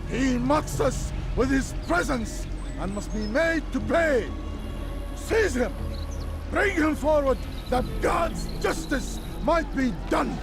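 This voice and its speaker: A man's voice: extremely high pitch, animated, slow pace, gravelly, over music, exaggerated voice. A man playing a righteous, zealous preacher who denounces an enemy to a crowd in a video game. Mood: righteous fury. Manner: declamatory, righteous. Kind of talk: dramatic game cutscene oratory.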